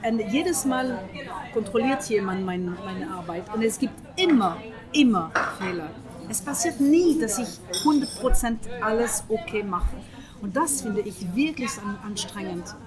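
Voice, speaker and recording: A middle-aged woman from France speaking German with a French accent, often searching for words in a casual breakfast conversation, with many pauses and fillers. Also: on the high side, animated, music in the background, crowd noise. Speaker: guest. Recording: breakfast table with a group chatting in the background.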